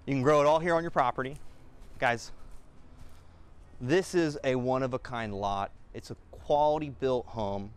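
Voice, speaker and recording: A man in his thirties giving an upbeat real estate walkthrough of a house, listing features in quick bursts as he walks through the rooms. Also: mid-range voice, smooth. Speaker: real estate agent giving a home tour. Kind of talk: enthusiastic walkthrough tour. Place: inside and around a single-story house.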